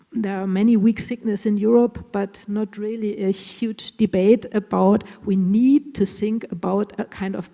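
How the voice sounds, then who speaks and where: Gravelly woman in an echoey hall. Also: mid-range voice, dark tone. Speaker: conference speaker. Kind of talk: closing a conference talk.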